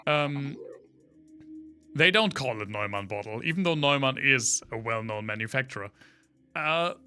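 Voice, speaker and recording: A man's voice: medium pitch, pushed and bright. A man from Germany with a German accent, chatting casually on a livestream while he plays a game, rambling through trivia on a studio condenser mic. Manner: rambling, full of trivia, talks to chat between gameplay reactions. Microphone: studio condenser microphone.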